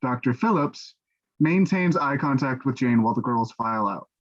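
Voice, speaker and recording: A man's voice: mid-range voice, expressive, normal speed. A man reading stage directions as scripted narration for a play, on a dull microphone.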